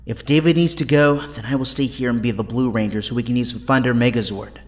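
A man's voice: medium pitch, steady tone, quick pace, lightly acted. A man as a brainy young hero, reverberant. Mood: resolute. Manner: precise, earnest. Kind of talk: audio drama acting. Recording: long hall reverb.

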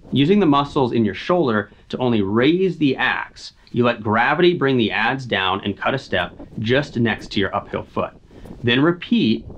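An American man presents a scripted how-to lesson as an outdoor instructor. His pitch moves up and down in a lively way at a middling height, and he lays out each step methodically. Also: lo-fi sound, slight background noise. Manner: clear, methodical step-by-step explaining. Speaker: outdoor skills instructor and video host.

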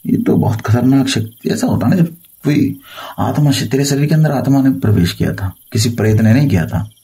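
A man's voice: mid-range voice, expressive, a little fast, vocal fry, warm tone, husky. A man from India speaking as a tantric guru on a phone call, boasting and reassuring with authority. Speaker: tantric guru advising a caller. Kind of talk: phone consultation, boastful storytelling.

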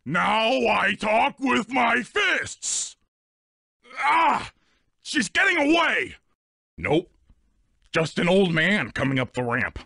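A man's voice: sits high, very animated, slow pace, vocal fry, long pauses, bright, forceful tone, dry recording, studio quality, heavily acted. A man playing a big, dim but loyal cartoon hippo strongman in a video game, boastful and childlike, with bombastic delivery. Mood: heroic boasting, then alarmed shouting. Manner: bombastic, speaks of himself in third person.

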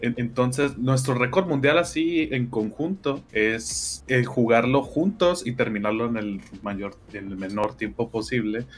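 Brief: 20s guest, mid-pitched, patient explainer. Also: male voice, unhurried, hardly any pauses. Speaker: speedrunner guest. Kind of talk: casual explanation.

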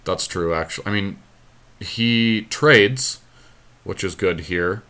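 A man's voice: low-pitched, slow pace, sharp tone. A man giving casual commentary on a game, thinking his moves through aloud. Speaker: podcast host and card game player.

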